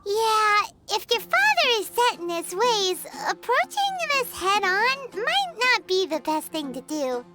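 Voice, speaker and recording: A woman's voice: extremely high pitch, big pitch swings, a little slow, few pauses, exaggerated voice. A woman voicing a small, perky, cheeky fairy companion girl in a video game, with a chirpy, forthright way of talking. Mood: advising. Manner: speaks of herself in third person, chirpy, forthright. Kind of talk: video game character acting. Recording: music behind the voice.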